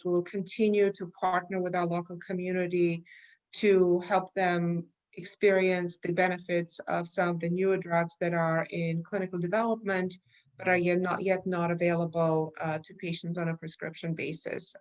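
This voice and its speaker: A middle-aged woman speaks with a light Armenian accent in a fairly low voice. She explains things carefully and at an even pace, and often pauses on fillers while she finds the next word. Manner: measured, explanatory, many fillers. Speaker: guest, research company chair. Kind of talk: interview answers.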